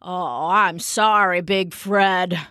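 A woman voicing a grumpy but soft-hearted old man with bad teeth in a children's story, grumbling and drawing out the words. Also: fairly low pitch, dry recording, clean studio sound, heavily acted. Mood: apologetic, tender. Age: older. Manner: grumbling, slow, drawn-out delivery. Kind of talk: acted children's storybook character.

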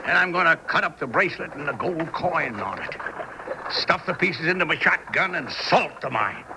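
An actor playing a cocky, scheming frontier homesteader with rustic speech in an old-fashioned Western radio drama. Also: male voice, a little high, expressive, moderate pace. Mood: excited. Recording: noisy 1970s radio drama broadcast.

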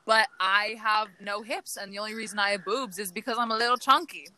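A woman's voice: medium pitch, steady tone, brisk, sharp tone, a little hiss or hum. A young woman co-hosting a podcast, talking frankly and rambling, with lots of fillers. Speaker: podcast co-host. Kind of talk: casual chat.

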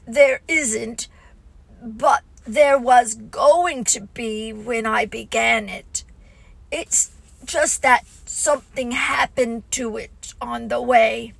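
A woman voicing a gentle, humble male bear who thinks aloud slowly. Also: high-pitched, husky, no echo, heavily acted, rough recording. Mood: musing. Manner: slow, thoughtful, humble.

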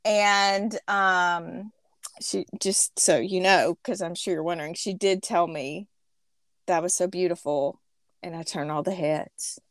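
A woman's voice: on the low side, fairly even intonation, unhurried, no room sound. A woman in her thirties hosting a podcast, telling a rambling, chatty story. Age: 30s. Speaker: podcast host. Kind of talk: casual chat.

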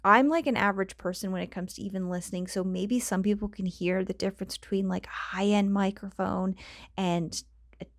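A woman's voice: medium pitch, steady tone, a little fast, dry recording, clean studio sound. A woman with a Canadian accent hosting her own podcast, talking casually and rambling off on tangents with frequent laughs, on a cheap home microphone. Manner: chatty, rambling, laughs often, goes off on tangents. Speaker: podcast host. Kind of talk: casual solo podcast chat.

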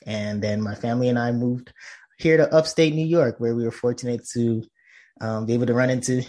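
A man's voice: mid-range voice, steady tone, brisk, mellow. A man from Nigeria, a professor guest in a conversational interview, explaining earnestly with many fillers and restarts.

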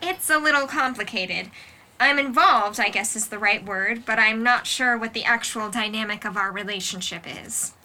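A woman playing a composed, supportive young friend who talks candidly in a measured way, in an audio drama. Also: on the high side, sharp tone, low-quality recording. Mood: composed, polite.

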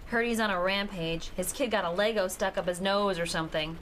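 A woman's voice: mid-range voice, fairly quick, a bit bright, slight background noise, lightly acted, low-quality recording. An actress playing a grumpy, gossipy female office worker in a modern audio drama. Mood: grumbling.

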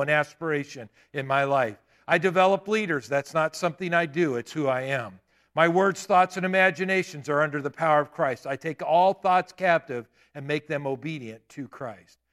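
A man in his late fifties preaching a sermon in a church, with emphatic declarations and quieter asides. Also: on the high side. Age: 50s. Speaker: pastor. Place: church sanctuary.

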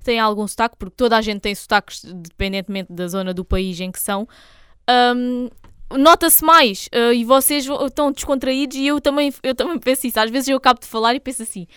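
Quick, clear young Portuguese woman. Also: a little high, a bit bright, dry recording, clean studio sound. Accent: European Portuguese, light Algarve. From the Algarve, Portugal. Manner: slangy, addresses listeners directly. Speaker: podcast co-host. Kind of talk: casual chat.

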